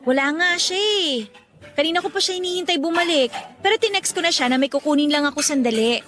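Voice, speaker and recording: A woman's voice: sits high, lively intonation, quick pace, a bit bright, soft background music. A woman acting in a radio drama as a burdened young working woman, talking in an earnest, conversational way. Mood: hurried, asking favor.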